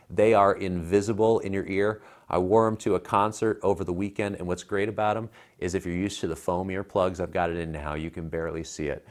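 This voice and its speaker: A low-voiced American man in his thirties chats as a tech reviewer. He talks casually and colloquially, pressing his recommendations with emphasis. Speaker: tech reviewer, show co-host. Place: living room at home. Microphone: clip-on lapel mic.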